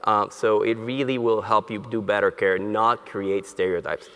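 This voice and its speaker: A man born in Colombia and raised in Puerto Rico, giving a conference lecture in a halting way with many fillers and restarts. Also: fairly low pitch, steady tone, a bit bright, clean tone. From born in Colombia, grew up in Puerto Rico. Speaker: neurologist, conference speaker. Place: conference hall. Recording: long hall reverb.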